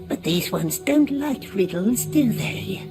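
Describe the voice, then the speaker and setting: A woman's voice: mid-range voice, expressive, slow, over music. A woman playing a sly, childish, wheedling creature in a video game, blurting short phrases and speaking of itself in the third person. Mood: competitive, petulant. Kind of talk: video game character acting.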